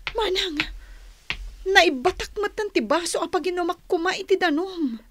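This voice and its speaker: A gentle, apologetic young señorita in a Filipino radio drama. Her voice is extremely high, with faint noise in the recording. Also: moderate pace.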